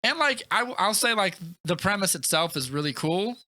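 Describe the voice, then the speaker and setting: A man chatting casually and enthusiastically about films as a podcast co-host, rambling excitedly with lots of "like". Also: high-pitched, a little fast, no room sound. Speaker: movie review podcast co-host. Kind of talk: casual enthusiastic film chat.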